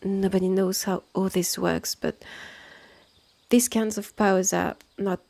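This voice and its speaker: A woman plays a calm, immortal healer and protective elder sister in an ASMR fantasy roleplay. She has a French accent and a mellow, breathy voice that often slips into whispers. Her delivery is slow and soothing. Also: medium pitch, no room sound. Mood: confiding, matter-of-fact.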